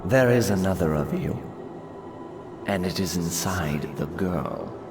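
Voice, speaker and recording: A man's voice: sits low, expressive, slow pace, roomy sound, over loud music, voice pushed down, heavily acted. A man playing a troubled, accusing sorcerer in an audiobook, with an insistent, questioning manner. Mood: suspicious, hurt. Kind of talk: audiobook character voice.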